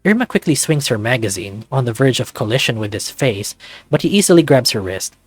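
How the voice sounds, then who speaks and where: A man narrates a visual novel in an expressive voice that moves freely in pitch. He reads the prose aloud and drops in casual asides as a let's-play host. The sound is close and dry, with game music underneath. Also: a little fast, no room sound. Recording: music playing behind.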